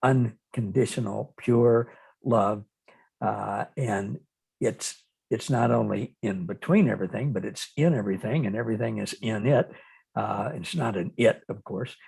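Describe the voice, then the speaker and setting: A man interviewing in a reflective, conversational style, speaking haltingly and thoughtfully and often repeating words. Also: on the low side, vocal fry, darkish tone, slightly rough.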